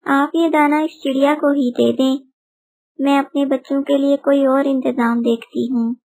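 A woman voicing a gentle, honest mother bird in a children's story, polite and pleading. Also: sits high, little pitch movement, moderate pace, pitched above the performer's own voice. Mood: tired, resigned.

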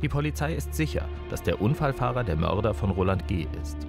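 A man narrating a scripted true-crime TV report in a dramatic, clearly articulated way. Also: on the low side, sing-song, fairly quick. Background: prominent music.

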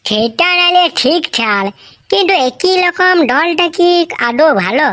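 A woman's voice: extremely high pitch, expressive, a little slow, pushed and bright, exaggerated voice. A woman voicing a whiny, chatty village schoolboy in a comic cartoon, with a rural western Bengali accent and childlike lisped, rambling, repetitive speech. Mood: complaining.

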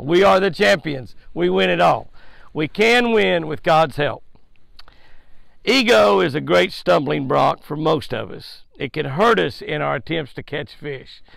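Old guy doing a devotional by the lake, gravelly and kinda high, repeats himself a lot. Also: fairly bright tone, dry recording. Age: older. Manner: repetitive, emphatic, folksy preaching. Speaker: fishing show host. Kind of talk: daily devotional with a fishing tip. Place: outdoors by a lake. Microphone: headset mic.

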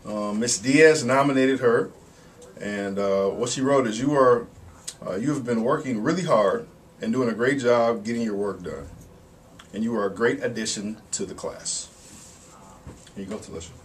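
A man's voice: medium pitch, moderate pace. American male school staffer, thirties, mid-range voice, presenting awards, slight room noise. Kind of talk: award presentation, reading aloud. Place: wood-panelled office, indoors. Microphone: camera at a distance. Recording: slightly noisy room, distant mic.

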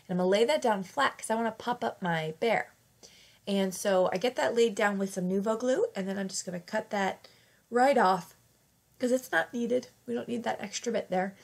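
A woman fighting off a cold, narrating a casual craft tutorial in a chatty, self-correcting way. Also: mid-range voice. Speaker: craft channel host.

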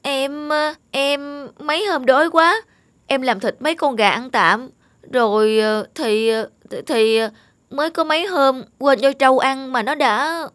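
A woman voicing a lazy, self-pitying young peasant man in an animated folk tale, whining and complaining. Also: high voice, unhurried, dry recording. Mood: pleading. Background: background music.

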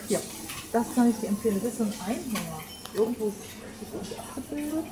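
A woman's voice: a little high, lively intonation, brisk. A woman shopper chatting casually with a companion while shopping in a supermarket. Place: inside a busy supermarket. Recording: very noisy supermarket ambience recording. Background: loud shop noise and bustle.

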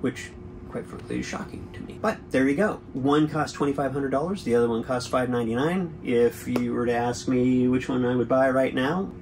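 A man's voice: medium pitch, moderate pace, background noise. A man in his forties hosting a boating channel, chatting through a product review from a boat cabin with an easygoing, explanatory manner and frequent fillers.

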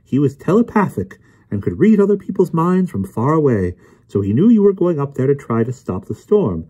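A man voicing a bouncy, arrogant know-it-all gentleman lecturing theatrically in an audiobook story. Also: medium pitch, breathy edge, pitched above the performer's own voice. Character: smug, bouncy, know-it-all older gentleman explaining mysteries.

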